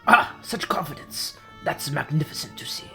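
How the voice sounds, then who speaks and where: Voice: mid-range voice, very animated, moderate pace, creaky, music in the background. A performer voicing a pompous, theatrical male courtroom rival in a game, grandiose and mocking. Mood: smug.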